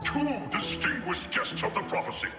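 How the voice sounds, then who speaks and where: An actor playing a boastful, theatrical talking statue that spreads town news in a grandiose game-character style. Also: male voice, high voice, lively intonation, moderate pace. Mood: grand, welcoming. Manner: grandiose, showy, proclaiming. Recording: long reverb, music behind. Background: music.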